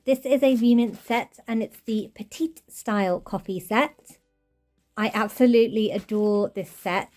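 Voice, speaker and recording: A woman's mellow, soft-edged voice gushes warmly over the tiny details of a show-and-tell toy collection update. Her voice is still a little off from a recent cold, and faint music plays softly behind her. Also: mid-range voice. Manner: chatty, enthusiastic, gushing over tiny details. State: slightly off voice while recovering from a cold. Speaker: toy collector channel host.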